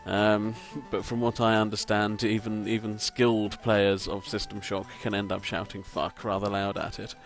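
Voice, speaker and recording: A man with a croaky voice, giving rambling, self-deprecating gameplay commentary from a home mic and swearing casually. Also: lowish voice, level tone, normal speed, few pauses, faint music behind. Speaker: let's play commentator. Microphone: home desk microphone.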